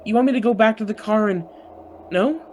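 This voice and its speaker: A man's voice: high voice, a little fast, darkish tone, slight background noise, natural voice. An actor in a radio play voicing a dazed, yearning son entranced by his mother's apparition. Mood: entranced, tender. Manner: hesitant, dreamy, questioning. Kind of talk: naturalistic radio play acting.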